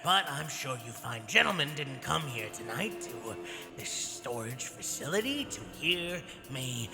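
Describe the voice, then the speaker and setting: A man's voice: mid-range voice, very animated, unhurried, creaky. A man playing a smug, theatrical drug-dealing gang boss in an audio drama, with a taunting, showman-like delivery. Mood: smug salesmanship. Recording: long reverb, loud music underneath. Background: loud music.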